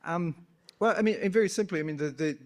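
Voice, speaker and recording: A man giving an unscripted panel answer, halting and restarting as he picks his words. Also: high-pitched. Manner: halting, hedging, frequent false starts.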